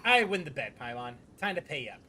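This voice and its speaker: A man's voice: medium pitch, big pitch swings, a little fast, bright, forceful tone, slight background noise. A man streaming a game with casual, playful, rambling commentary, often repeating his phrases. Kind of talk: casual live playthrough commentary.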